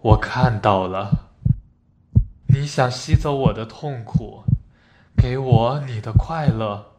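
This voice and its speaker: A man playing a solemn, resigned, tender dying patient in an amateur radio play, speaking in a halting, deliberate way. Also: mid-range voice, lightly acted. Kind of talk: amateur radio play reading of stage drama. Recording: noisy recording with clicks and throbbing.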